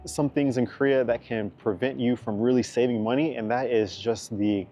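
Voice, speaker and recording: A man's voice: mid-range voice, steady tone, moderate pace, dark tone, soft background music. A man in his thirties from the United States, an English teacher talking casually to camera, chatty and repeating words for emphasis. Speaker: vlogger.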